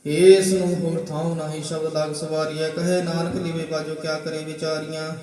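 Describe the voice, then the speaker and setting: A man reciting a long prayer in a steady, chanting cadence, heard from a distance. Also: fairly high pitch, moderate pace. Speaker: reciter of scripture. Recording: echoey distant hall.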